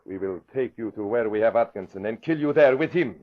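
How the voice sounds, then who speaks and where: A man's voice: fairly low pitch, expressive, lightly acted. Guy doing menacing old radio spy boss, formal wording, gloating, dull vintage sound. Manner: formal, deliberate phrasing without contractions. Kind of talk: 1940s radio drama acting. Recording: muffled vintage radio recording.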